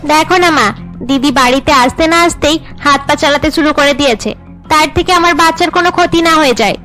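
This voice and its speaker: A woman's voice: sits high, fairly quick, pushed and bright, faint music behind, voice pushed up. A woman voicing a spiteful young younger sister in a cartoon. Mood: whining accusation.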